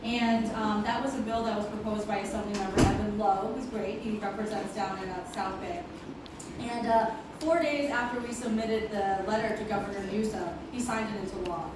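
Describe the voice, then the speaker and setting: A woman's voice: medium pitch, steady tone, brisk. A middle-aged woman from Hong Kong, a lawyer giving an earnest public speech with many ums, mixing English and Cantonese. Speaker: deputy city attorney giving a speech. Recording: echoey room, voice from a distance, clear background noise.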